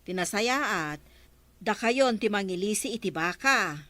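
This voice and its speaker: A woman's voice: mid-range voice, animated, measured pace, sharp tone, no echo. A woman playing a suspicious, grumbling villager in a radio comedy drama, with an Ilocano accent.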